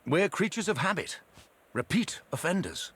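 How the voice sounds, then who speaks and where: An actor playing a learned archaeologist with a theatrical, musing manner in video game dialogue. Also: male voice, sits high, big pitch swings, moderate pace, a bit bright, slight background noise.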